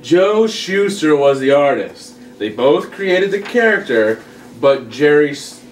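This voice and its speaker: A man reads as an excitable comic-book nerd, teasing and know-it-all, with very lively pitch swings. He is heard in an echoey room, some way from the mic, at a comedy script reading. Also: slow pace. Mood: nerdy, earnest. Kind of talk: table read of a comedy script. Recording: echoey room, distant mic, faint noise.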